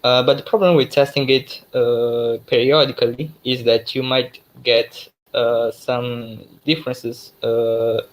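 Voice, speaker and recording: Young man from Mozambique, native Portuguese, mid-pitched voice, loose online tech talk full of fillers. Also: measured pace, poor audio. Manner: relaxed, many fillers and restarts. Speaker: guest speaker, developer expert.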